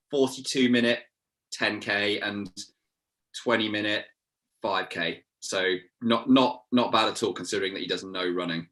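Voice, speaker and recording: A man with a British accent and a bright, forward voice reads out questions as co-host. His pitch sits in the middle, and the delivery is informal and chatty. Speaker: co-host reading out viewer questions. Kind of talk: casual live Q&A chat.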